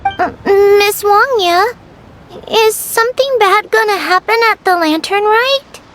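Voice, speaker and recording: A sweet little girl in a video game. The voice is very high and childlike, with polite, innocent, slightly hesitant delivery over clear background noise. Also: slow, fairly bright tone, heavily acted. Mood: worried.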